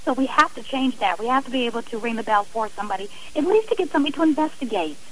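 A woman's voice: fairly high pitch, animated, rapid-fire, slight background noise, rough recording. A woman speaking earnestly and emphatically as a guest on a radio interview.

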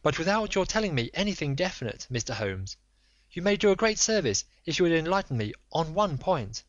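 A man's voice: a little high, very animated, a little fast, no echo, pitched above the performer's own voice. A man voicing an anxious noble Victorian lady who pleads in formal, earnest speech. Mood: desperate. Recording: dull lo-fi dry recording.

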